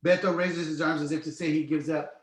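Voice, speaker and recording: Male narrator, scene directions for a table read, higher-set voice, roomy echo. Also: level tone, fast. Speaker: narrator reading stage directions.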